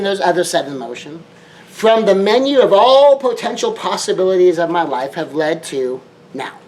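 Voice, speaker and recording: A man's voice: high voice, rough recording. A man from Southern California, a life coach, giving a motivational talk with repetitive, emphatic phrasing and shifting tempo.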